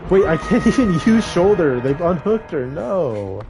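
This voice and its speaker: A man's voice: sits high, sing-song, unhurried. Casual male game streamer over music. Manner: informal slangy banter, reacting aloud to gameplay. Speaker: video game livestreamer. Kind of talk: casual gaming stream chat with live reactions. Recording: music playing behind the voice.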